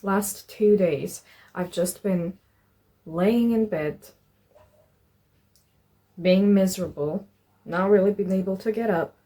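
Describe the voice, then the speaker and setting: A woman's voice: mid-range voice, takes it slowly, long pauses, mellow, no room sound. A young woman narrating a casual vlog in an informal, sweary way. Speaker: vlogger, artist.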